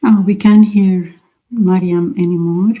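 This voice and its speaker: A woman moderating a live online audio discussion, giving calm, brief prompts. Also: on the low side, a little slow, highs cut off.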